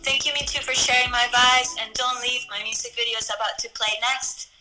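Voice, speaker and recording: A young woman from Ecuador, a singer, chatting casually to camera in a relaxed way with lots of filler words. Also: a little high, fairly quick, over music, poor audio. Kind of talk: casual talk to camera about her song.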